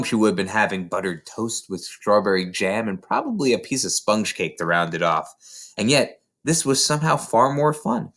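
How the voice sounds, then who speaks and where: Man reading a storybook with lively intonation. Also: on the low side. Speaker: narrator, reader of a children's novel. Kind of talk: storybook read aloud.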